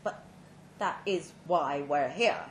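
A woman voicing a polite, resigned man in his fifties in a dramatic short story, speaking slowly and deliberately. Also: a little high, fairly bright tone. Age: 50s. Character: polite, resigned middle-aged man facing death. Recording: roomy live venue with background noise.

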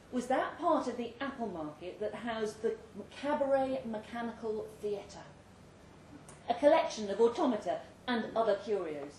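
A woman with a British accent reads literary fiction to a live event. Her delivery is measured and expressive, with dramatic phrasing at a medium pitch. The room adds noticeable echo, and faint noise sits behind her. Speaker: story reader. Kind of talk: literary short story read aloud at a live event. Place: indoor live reading event venue. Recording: roomy live venue with faint background noise.

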